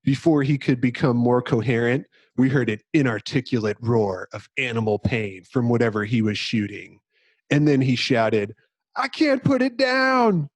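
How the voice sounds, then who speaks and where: A man hosts a podcast with a mid-range voice and a very dry, close sound. He moves between casual chat and dramatic, measured reading. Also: medium pitch, a little mellow, slightly rough, no room sound. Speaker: podcast host.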